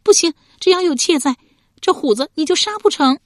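Woman voicing an anxious, high-pitched pleading young wife. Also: expressive, a little fast, a little mellow, no echo, studio quality. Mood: tearful, indignant. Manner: anxious, questioning, tearful when protesting. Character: frightened pleading young mother, wife of an ancient court cook.

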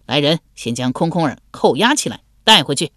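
A woman voicing a commanding, suspicious male general in an audiobook, gruff, sardonic and authoritative. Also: low-pitched, big pitch swings, fairly quick, dry recording, clean studio sound. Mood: commanding, scornful. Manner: barking, authoritative.

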